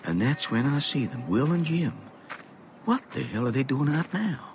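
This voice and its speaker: A man's voice: mid-range voice, big pitch swings, normal speed. A man playing a weary, brooding middle-aged father in a radio drama monologue, theatrical in delivery. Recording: dull audio, faint noisy ambience.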